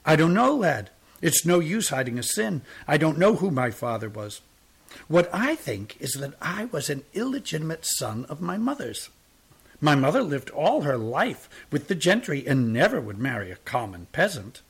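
A man's voice: a little high, very animated, moderate pace, gravelly, breathy edge, voice pushed up, exaggerated voice. A man doing a sickly sweet pious tramp. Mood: wistful. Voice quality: drawling, soft, sickly sweet. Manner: pious, boastful, self-pitying.

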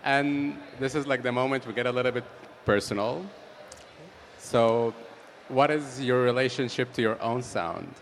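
A man's voice: mid-range voice, steady tone, moderate pace, a little hiss or hum. A man interviewing a guest casually, encouraging her and laughing often, with frequent okays.

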